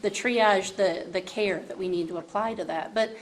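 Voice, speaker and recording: Woman, medium pitch, thoughtful panel speaker, measured, unscripted, light background noise. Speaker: education panelist. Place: live panel event. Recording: faint room noise of live event.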